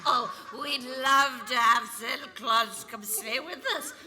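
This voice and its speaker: An actress playing a tipsy, silly, gushing society wife in a comic radio play, giggly and drawling. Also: female voice, on the high side, slow pace, sharp tone, exaggerated voice. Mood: giddy, tipsy. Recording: reverberant hall, faint noise.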